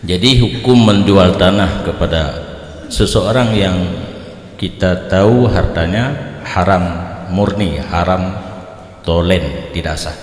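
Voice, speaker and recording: A man's voice: sits low, slow, pauses often. An older man with an Acehnese accent, a native Acehnese speaker, teaching religion informally as he explains answers to questions. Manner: informal, explanatory, switches between languages. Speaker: Islamic scholar and teacher. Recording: echoey hall with long reverb.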